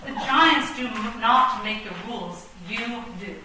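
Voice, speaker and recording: A woman's voice: on the high side, measured pace, lightly acted. A woman playing a bold, defiant rebel chess pawn with dry, declamatory delivery in a comic radio play. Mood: accusing. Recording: echoey room, distant mic, background noise.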